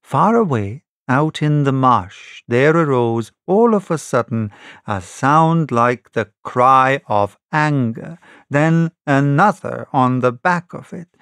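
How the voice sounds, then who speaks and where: Slow, creaky-voiced male storybook narrator. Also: medium pitch, dry recording. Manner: measured, dramatic reading in short phrases. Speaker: audiobook narrator.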